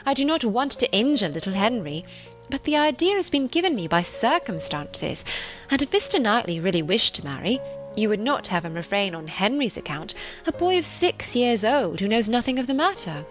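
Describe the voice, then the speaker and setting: A genteel married lady of a period novel, as a woman narrator plays her, calm yet gently persistent. She reasons in formal phrases with lively intonation, over soft music. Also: a little high, normal speed. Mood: reasoning, patient.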